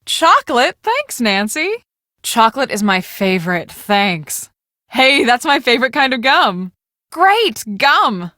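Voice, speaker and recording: An actress playing a brisk, bossy young woman on a storm-chasing team in a video game, speaking emphatically and expressively. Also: on the high side, bright, forceful tone, no echo, clean studio sound, exaggerated voice. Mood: playful, teasing. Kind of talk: scripted video game dialogue.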